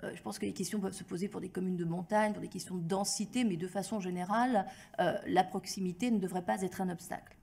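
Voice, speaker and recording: Mellow-voiced woman giving formal testimony. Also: medium pitch, fairly even intonation, quick pace, vocal fry. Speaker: academic expert giving testimony at a hearing. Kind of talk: formal prepared address with spontaneous phrasing.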